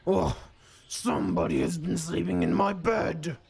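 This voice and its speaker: A woman's voice: lowish voice, animated, measured pace, a crowd in the background, exaggerated voice. A woman putting on a growly papa koala. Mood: bellowing. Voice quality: growly, grumbly. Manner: bellowing, gruff storybook voice. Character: big grumpy male koala father.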